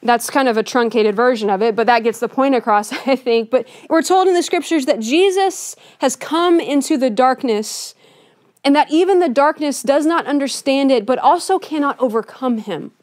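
High-voiced woman preaching, dry sound. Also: moderate pace, darkish tone, dry recording. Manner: conversational and earnest, with frequent hedges like "kind of". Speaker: pastor. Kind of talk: Christmas sermon. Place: church sanctuary with a Christmas tree.

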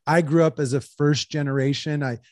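A man's voice: a little high, fairly quick, no echo. Chatty man in his thirties, dry close mic. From United States. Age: 30s. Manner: rambling, filler-heavy, animated hand gestures. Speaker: guest entrepreneur. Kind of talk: casual interview talk. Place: small room indoors. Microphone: headset with boom studio mic.

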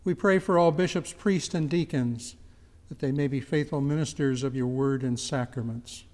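A man's voice: mid-range voice, normal speed, darkish tone. An older man priest solemnly reading liturgical prayers aloud from a book in a church. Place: church chancel, indoors. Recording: long church reverb.

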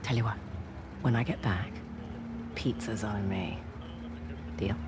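A woman's voice: a bass voice, slow pace, very noisy. A woman playing a wry, guarded adventurer in her thirties in a video game, with a dry, understated delivery. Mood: firm, patient. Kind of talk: naturalistic cinematic video game acting. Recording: clear outdoor street ambience behind.

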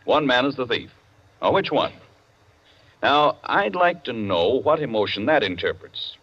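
A man playing a courteous but argumentative club member in a 1940s radio play, speaking deliberately. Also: mid-range voice, animated. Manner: deliberate, debating. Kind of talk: 1940s radio drama acting. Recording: dull 1940s radio recording.

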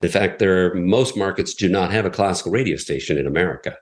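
A middle-aged man, a radio executive, answering interview questions in a relaxed, explanatory way, often repeating words as he thinks. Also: sits low, fast. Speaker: radio station president, guest.

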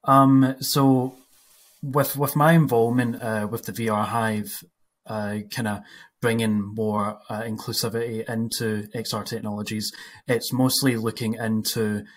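Young Scottish man, flat low voice, hesitant panel speaker on headset. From west coast of Scotland. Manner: halting, many "uh" pauses. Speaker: panelist, 3D animator. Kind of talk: panel discussion on video call. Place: home room.

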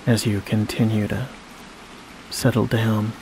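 A man with a lowish voice guides a sleep meditation. His delivery is very even, slow and soothing, with long pauses between short phrases. A clear wash of rain-like noise runs behind his voice. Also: poor audio. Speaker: hypnosis guide.

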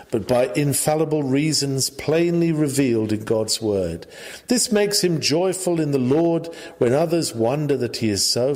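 A man reading an old sermon aloud in a measured, formal, earnest preaching manner. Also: medium pitch. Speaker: reader of a historical sermon. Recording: long hall-like reverb.

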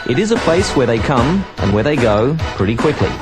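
A man reporting in measured, journalistic narration and asking interview questions. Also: mid-range voice, very animated, over loud music.

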